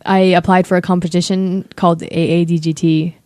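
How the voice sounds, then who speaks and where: A young American woman, a musician, answering interview questions in a hesitant, soft-spoken way. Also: lowish voice, level tone, brisk, dry recording. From USA. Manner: soft-spoken, hesitant, many ums and likes. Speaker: guest musician.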